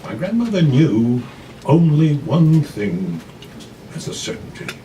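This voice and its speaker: A man reading fiction aloud in a dramatic literary style, with measured, emphatic phrasing and pauses, recorded live in a café. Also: on the high side, very animated, warm tone, distant mic, slight background noise. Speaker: actor reading a novella. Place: café, live before an audience.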